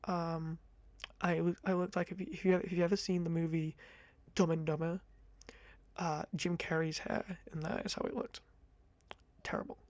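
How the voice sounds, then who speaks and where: A young man in his twenties with a high-pitched voice, rambling casually to camera in a chatty, self-deprecating ASMR talk on a close mic. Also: flat delivery, normal speed, darkish tone, no echo. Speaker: ASMR creator. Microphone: close condenser mic.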